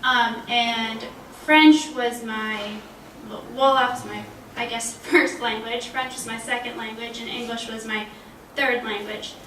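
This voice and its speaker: Young woman, panelist, conversational storyteller, higher-pitched, roomy recording with faint noise. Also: steady tone, unhurried, hardly any pauses, fairly bright tone. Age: 20s. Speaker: panelist, language graduate. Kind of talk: conversational panel talk with personal anecdotes. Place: college panel event room. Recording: roomy, distant mic, faint background noise.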